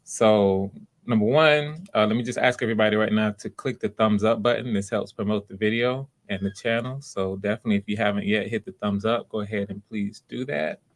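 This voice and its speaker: Male livestream host, lower register, informal and a bit halting as he addresses viewers. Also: fairly even intonation. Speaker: livestream host running voice auditions. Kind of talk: casual livestream talk to chat.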